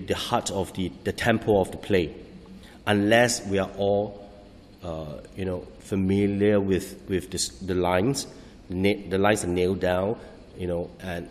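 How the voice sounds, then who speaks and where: Halting low-voiced actor, echoing hall. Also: male voice, a little mellow. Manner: halting, searching for words, frequent restarts. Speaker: stage actor. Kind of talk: interview answers. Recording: long hall reverb.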